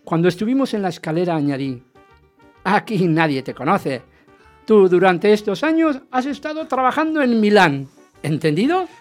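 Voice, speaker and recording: A man's voice: high-pitched, sing-song, moderate pace, soft background music. An actor from a radio play, playing a jealous, grudging husband who tells his own story. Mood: wry, grudging. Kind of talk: radio drama acting with first-person narration.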